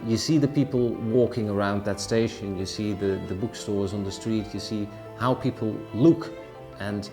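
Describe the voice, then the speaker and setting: Halting man with a lowish voice. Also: steady tone. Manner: halting, thoughtful, repeats words. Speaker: delegate. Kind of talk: interview. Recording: music playing behind.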